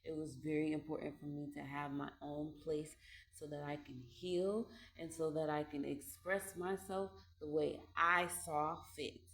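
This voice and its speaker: A woman in her late twenties talking through her thoughts in a loose, rambling way at home, repeating phrases and laughing softly between ideas. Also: low-pitched, level tone, measured pace. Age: 20s. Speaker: vlogger. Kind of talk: reflective casual monologue. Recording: echoey room with faint ambience.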